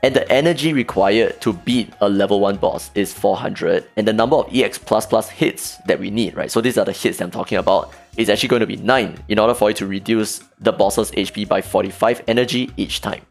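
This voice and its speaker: A young man with a hoarse voice that has nearly gone, sitting at a desk mic in his room. His voice is gravelly and sits fairly low. He talks casually through spreadsheet numbers, with faint music playing behind him. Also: fairly quick, hardly any pauses. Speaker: gaming channel host. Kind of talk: casual game strategy walkthrough.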